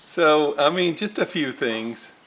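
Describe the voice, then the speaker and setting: A man giving a casual hands-on repair walkthrough, halting and muttering to himself as he works. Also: on the high side, expressive, fairly bright tone, a little hiss or hum. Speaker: hobbyist radio restorer, presenter.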